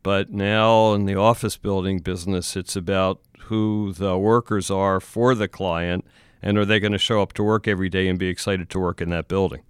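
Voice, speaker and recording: A man hosting a podcast, asking interview questions in a measured, thoughtful way. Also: low voice, steady tone, smooth, dry recording, studio quality. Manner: measured, thoughtful, asks at length.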